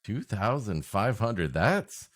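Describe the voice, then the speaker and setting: A man's voice: on the low side, big pitch swings, slow pace. A man co-hosting a comedy podcast, bantering playfully in casual chat and often laughing.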